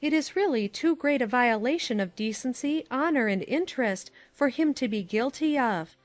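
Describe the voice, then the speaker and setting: A woman's voice: sits high, expressive, moderate pace, no room sound. A woman playing a concerned, genteel middle-aged aunt in a dramatized period novel, emphatic and exclamatory. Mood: earnest.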